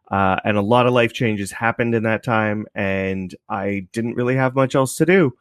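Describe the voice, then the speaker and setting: A man's voice: mid-range voice, smooth, no room sound, studio quality. A man from Canada chatting casually as an interview guest, laid-back and reflective. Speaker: podcast creator, interview guest.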